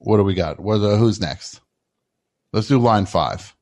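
Teasing male radio host, low voice. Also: unhurried, a little mellow, a little hiss or hum. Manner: incredulous, repeats words, playful teasing. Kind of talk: comedic call-in radio banter.

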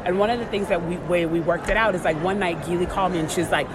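A woman's voice: fairly low pitch, racing. A woman being interviewed as an author, telling stories casually and emphatically, acting out what people said. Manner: acts out quoted dialogue, emphatic. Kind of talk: animated casual storytelling. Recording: crowd chatter and clear urban background noise.

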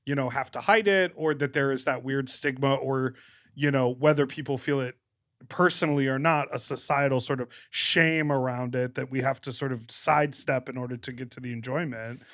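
A man's voice: medium pitch, fairly even intonation, moderate pace, a bit bright, no room sound, clean studio sound. A man hosting a podcast in a casual, conversational chat style. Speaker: podcast host.

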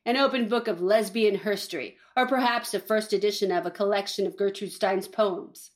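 A woman's voice: medium pitch, lightly acted. A woman playing a callous, cynical reality-show producer with a sardonic manner in a campy comedic audio drama. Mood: breezy, callous. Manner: sardonic, brisk, shady.

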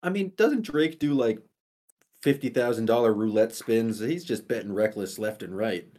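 A man's voice: medium pitch, normal speed, dark tone. A man co-hosting a podcast with casual banter in a dry, wry manner. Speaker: podcast co-host.

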